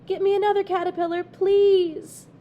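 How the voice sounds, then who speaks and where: A woman voicing an earnest, eager and excitable little boy in a storybook read-aloud. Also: extremely high pitch, normal speed, muffled recording, slight background noise, pitched above the performer's own voice. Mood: excited.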